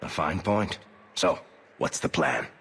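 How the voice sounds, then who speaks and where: An actor playing a roguish dwarf adventurer in a video game, sardonic and wisecracking. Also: male voice, rumbling low, animated, slow pace, a bit bright, breathy edge, long reverb tail, exaggerated voice. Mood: dry, sardonic. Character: wry, roguish male dwarf adventurer and storyteller. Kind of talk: conversational video game acting.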